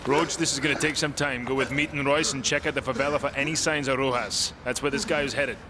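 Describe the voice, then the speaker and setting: A man playing a gruff British special forces soldier in a game, speaking tersely with a British accent. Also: mid-range voice, steady tone, fast, heavy background noise, close to an everyday voice. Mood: focused. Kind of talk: tactical game dialogue. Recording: loud music bed.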